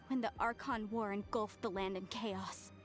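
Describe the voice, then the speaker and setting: A woman's voice: medium pitch, steady tone, moderate pace, faint music behind, natural voice. An actress playing an earnest, zealous young woman in a video game, with a formal, impassioned manner. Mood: guarded, earnest. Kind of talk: English game voice acting.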